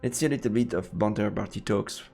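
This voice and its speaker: A man's voice: lowish voice, fast talker, gravelly, darkish tone. A man streaming a live let's play and reading the game's narration aloud over music. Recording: roomy, echoing mic over game music.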